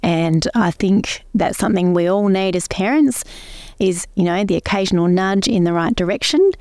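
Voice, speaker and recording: A woman co-hosting a faith podcast, explaining points at length in a steady way. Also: mid-range voice, moderate pace, no echo, clean studio sound. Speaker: podcast co-host, pastor. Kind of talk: casual faith podcast chat.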